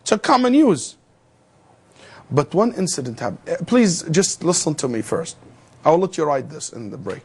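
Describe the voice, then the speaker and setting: A man lecturing a classroom of students, his voice sitting notably high for a man. He keeps things animated, tossing rhetorical questions at the class and breaking in with jokes. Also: normal speed, gravelly, husky. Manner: joking asides with students. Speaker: lecturer teaching theology.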